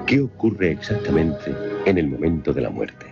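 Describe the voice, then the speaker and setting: A man narrating a scripted film synopsis in a measured, formal, dramatic storytelling manner. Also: very deep voice, big pitch swings, gravelly. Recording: slightly muffled voice-over with music. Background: music.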